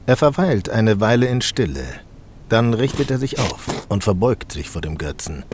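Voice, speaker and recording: A man's voice: fairly low pitch, big pitch swings, normal speed, noisy background. A man playing a sarcastic, grumbling audio play narrator who mocks the story theatrically and breaks character with snide asides. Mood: wry narration. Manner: theatrical, mocking.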